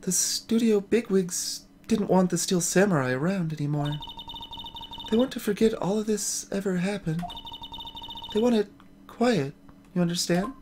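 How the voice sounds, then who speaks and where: A woman voicing a meek, apologetic young woman in a video game, soft-spoken and hesitant. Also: mid-range voice, normal speed, slight background noise, natural voice. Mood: resigned, apologetic. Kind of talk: voiced visual novel game dialogue.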